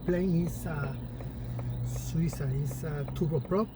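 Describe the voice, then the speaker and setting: Man. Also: a little high. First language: Spanish. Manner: halting broken English, searching for words. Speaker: rideshare passenger, pilot. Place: back seat of a car. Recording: car interior road noise. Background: road noise.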